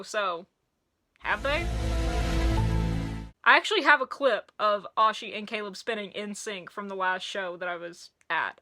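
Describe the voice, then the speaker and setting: A woman who is losing her voice hosts a casual song review to camera, gushing and excitable, often restarting her sentences. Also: medium pitch. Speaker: music reviewer and video host.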